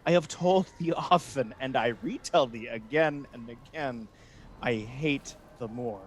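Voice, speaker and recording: A man's voice: mid-range voice, a little slow, slight background noise, natural voice. A man playing a scheming, resentful Venetian ensign in a Shakespeare radio play, persuasive and theatrical. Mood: sly urging.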